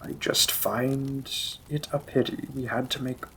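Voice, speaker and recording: A man performs as a demon king in a romantic fantasy audio drama, possessive and devoted. His voice is bright and edged with gravel, often sinking into breathy whispers. He speaks slowly, savoring each phrase, with some background noise behind him. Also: medium pitch, steady tone, rough recording. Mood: tender, concerned. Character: menacing, possessive demon king, secretly a tender lover.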